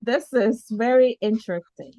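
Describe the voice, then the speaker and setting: A woman hosting a casual live online talk show, unhurried and filling pauses with ums. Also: fairly high pitch, warm tone, no echo.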